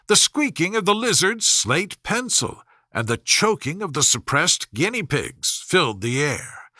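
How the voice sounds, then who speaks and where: A man reading a children's story aloud as a scripted, expressive narration. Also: fairly high pitch, no room sound, studio quality. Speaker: audiobook narrator.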